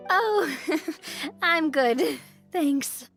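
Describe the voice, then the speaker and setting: An actress playing a cheerful, curious young woman in a video game, friendly, tactful and expressive. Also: sits high, very slow, faint music behind, exaggerated voice. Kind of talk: scripted video game dialogue.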